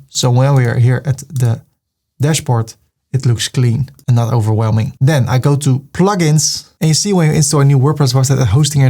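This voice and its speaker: A man from the Netherlands, calmly narrating a step-by-step software tutorial and describing each click as he makes it. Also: mid-range voice. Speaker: web design tutor. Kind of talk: screen-recorded software tutorial.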